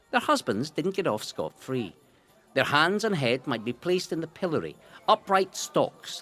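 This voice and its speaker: A Scottish man narrates history like a showman, his pitch swinging widely from phrase to phrase. He leans on key words with deliberate, theatrical relish. Music plays clearly behind his scripted delivery. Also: fairly bright tone. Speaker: narrator of a local history audio guide.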